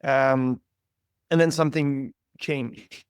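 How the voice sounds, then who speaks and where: A priest with a Ukrainian accent recounts his story as an interview guest, his voice smooth and clear at an ordinary middle pitch. He talks in a relaxed, conversational way, pausing on fillers and restarting phrases. The recording is dry and close, with no room sound. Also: no room sound.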